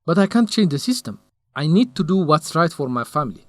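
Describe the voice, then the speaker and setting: A man's voice: fairly high pitch, big pitch swings, fairly quick, no room sound, soft background music. An actor playing a young male researcher in a radio drama, lovesick and stubborn, speaking in an earnest, defensive way.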